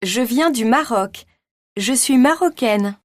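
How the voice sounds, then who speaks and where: A woman voices practice phrases for a language course, her voice slightly high. She speaks slowly and pronounces each word with care, in a dry studio recording. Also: animated, dry recording. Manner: clear, carefully articulated. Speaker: textbook audio voice actor. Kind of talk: slow scripted dialogue reading.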